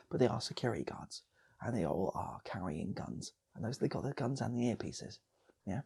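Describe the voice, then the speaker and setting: A British man spins an improvised comic tale with a very husky, gravelly voice. His pitch moves around a lot as he rambles and repeats himself for effect, sometimes slipping into whispers and little acted voices. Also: darkish tone. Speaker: channel host and storyteller.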